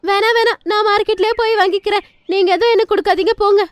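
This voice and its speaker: A woman acts out a stingy young mother from a cartoon moral tale. Her voice is very high and shrill, argumentative and full of exclamations. The sound is dry and close. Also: steady tone, fast, no echo. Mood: haggling, outraged. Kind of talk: dubbed cartoon moral story.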